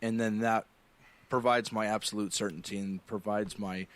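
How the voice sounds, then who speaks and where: A man calling in, arguing step by step in a steady, methodical way. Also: on the low side, normal speed. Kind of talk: reasoned argument in a played clip.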